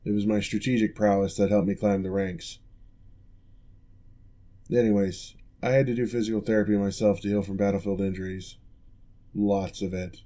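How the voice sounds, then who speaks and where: Guy doing a calm formal orc ex-general, lowish mellow voice, measured and reassuring. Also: natural voice. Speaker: physical therapist. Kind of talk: ASMR roleplay.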